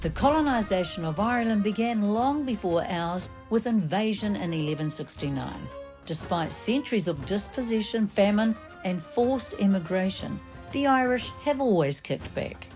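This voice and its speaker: A woman's voice: mid-range voice, lively intonation, moderate pace, darkish tone. A woman reporter narrating a news feature with a wry tone, moving between English and Māori. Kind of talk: scripted news narration and interviewing. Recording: music bed behind narration.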